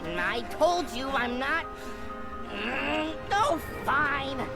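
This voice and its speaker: A woman's voice: sits high, animated, drawn-out words, creaky, over music, heavily acted. A woman voicing a plucky, grumbling sidekick in a video game, slangy and exclamatory. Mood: balking, grudging. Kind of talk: animated video game cutscene acting.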